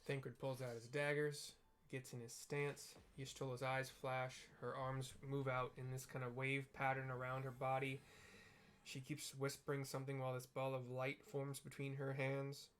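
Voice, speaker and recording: A man's voice: mid-range voice, completely flat delivery, moderate pace, a bit bright, a little hiss or hum. A young man casually narrating a game cutscene in a rambling way, full of "like" and "kinda.". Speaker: let's play narrator.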